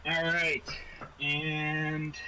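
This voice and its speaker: A man's voice: fairly high pitch, completely flat delivery, extremely slow. A man narrating and refereeing a tabletop roleplaying game as game master. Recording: noisy room recording.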